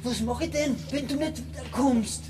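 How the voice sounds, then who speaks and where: A man's voice: very high-pitched, moderate pace, over music. An actor playing an anxious, clinging man of about forty who pleads plaintively in a radio drama. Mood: whiny, fearful. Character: whiny, anxious, clinging brother around forty.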